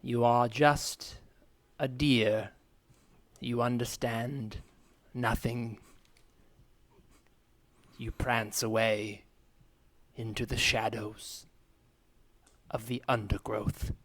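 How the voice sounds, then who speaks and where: A man playing a solemn hypnotist in an improvised comedy podcast, guiding a trance with hushed, portentous delivery and long dramatic pauses. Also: medium pitch, fairly even intonation, no echo. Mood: solemn, dreamy. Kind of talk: slow guided meditation, improvised comedy.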